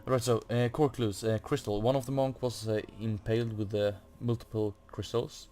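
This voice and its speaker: A man's voice: lowish voice, little pitch movement, normal speed, warm tone. A man giving a casual game walkthrough, hesitantly muttering to himself and reading text off the screen. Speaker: gaming video host. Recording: faint noise from a fan. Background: computer or room fan.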